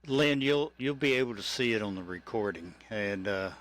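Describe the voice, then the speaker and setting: A fairly low-voiced man talks over faint background noise. He is a radio hobbyist, casual and halting as he explains things. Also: animated, a bit bright. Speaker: amateur radio operator recording the net. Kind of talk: casual on-air chat.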